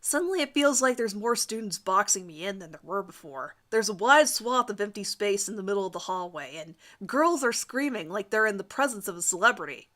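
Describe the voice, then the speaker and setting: Bright-voiced woman giving a dramatic reading. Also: mid-range voice, animated, fairly quick, few pauses. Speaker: amateur reader of her own fan fiction. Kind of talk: dramatic reading of a first-person story.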